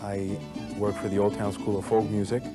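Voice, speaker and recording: A man's voice: on the low side, little pitch movement, normal speed, music in the background. A man from Mexico, a folk musician, explaining his tradition thoughtfully in a documentary interview. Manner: explanatory, thoughtful, some restarts.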